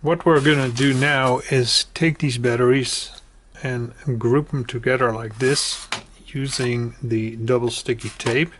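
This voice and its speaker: A man presenting an informal hands-on do-it-yourself tutorial, explaining step by step in a halting way and repeating his instructions. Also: mid-range voice, steady tone, fairly bright tone. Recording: clearly noisy home recording with clicks.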